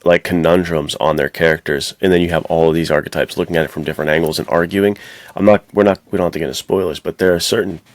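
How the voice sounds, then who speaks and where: A man's voice: low-pitched, steady tone, fast, dry recording. A man co-hosting a podcast, casually discussing stories with emphatic, slangy enthusiasm. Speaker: podcast co-host. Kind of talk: casual film and book discussion.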